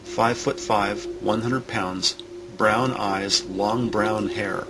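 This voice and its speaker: A man's voice: fairly low pitch, fairly even intonation, takes it slowly, music in the background, poor audio. A man reading scripted narration for an audio drama.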